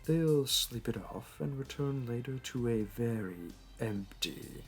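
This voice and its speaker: A man playing a smug, flirty supervillain in an audio roleplay, talking in a teasing, theatrical, unhurried way. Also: mid-range voice, lively intonation. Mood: confiding, smug. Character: smug, flirty male supervillain hosting a hero in his lair. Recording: music behind. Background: background music.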